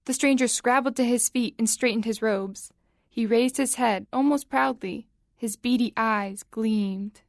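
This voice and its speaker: A woman narrating a scripted story for audio drama in a measured, suspenseful storytelling manner. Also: a little high, no echo, studio quality.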